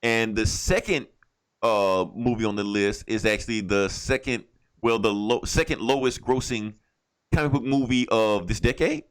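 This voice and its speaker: A man's voice: on the low side, moderate pace, smooth. A man hosting a podcast with casual, opinionated movie chat, swearing freely and repeating phrases for emphasis. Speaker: podcast host.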